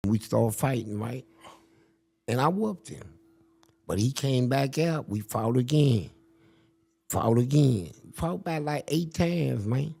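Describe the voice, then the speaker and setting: A man's voice: medium pitch, slow pace, vocal fry, long pauses, slightly rough. An older man, a guest in a casual interview, telling crude, boastful stories and acting out what others said. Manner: crude, boastful, animated storytelling with acted-out quotes.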